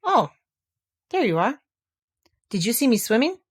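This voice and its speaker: A woman voicing a tiny, excitable baby kangaroo boy with a squeaky, eager voice. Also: measured pace, slightly rough, heavily acted. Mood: excited.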